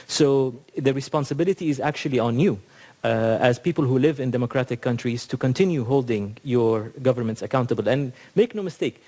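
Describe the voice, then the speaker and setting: A man speaking at a rapid clip, pressing his points hard in a conference hall. His pitch sits at an ordinary middle height, and he fills gaps with "you know" and "uh". The delivery is argumentative and emphatic. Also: a little mellow. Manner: emphatic, argumentative, many fillers. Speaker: panel speaker. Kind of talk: impassioned panel remarks.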